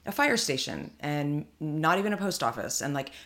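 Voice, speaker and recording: A woman from California with a low voice talks through her thoughts in a relaxed podcast chat. She rambles, circling back with plenty of fillers and half-started sentences. The tone stays conversational and easygoing throughout. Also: moderate pace. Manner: rambling, thinks aloud with many fillers. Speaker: podcast co-host. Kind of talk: casual chat.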